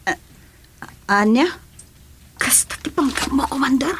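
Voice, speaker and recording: Woman acting a lively, cheeky rebel sidekick. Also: sits high, unhurried, slight background noise. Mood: sly surprise. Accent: Ilocano. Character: cheeky female rebel sidekick, eager and mischievous. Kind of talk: comedic radio drama acting.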